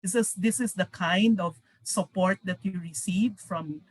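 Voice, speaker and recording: A woman's voice: on the low side, measured pace, no echo, low-quality recording. A woman moderating a panel, asking curious follow-up questions.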